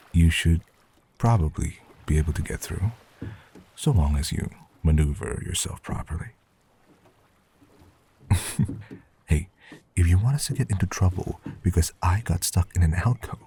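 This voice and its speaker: Noisy backdrop, man acting cautious accomplice, low mellow voice, slow, hushed, terse, gruff, audio drama. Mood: hushed, watchful, then wry. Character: gruff, cautious male accomplice planning a covert break-in.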